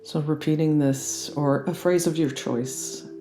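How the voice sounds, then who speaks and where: A meditation guide leading a guided relaxation in a gentle, soothing and unhurried manner. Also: mid-range voice, fairly even intonation, over music. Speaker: yoga nidra meditation guide.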